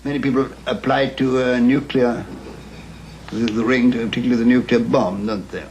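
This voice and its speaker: A man of medium pitch talks informally in an interview, his phrases broken and halting. There is faint noise and ambience behind his voice. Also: fairly even intonation, a little mellow.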